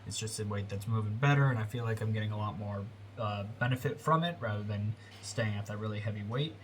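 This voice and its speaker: A man's voice: on the low side, fast, slight background noise. A young man talking casually as a fitness vlogger, rambling with lots of fillers and self-corrections. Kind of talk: casual rambling vlog talk.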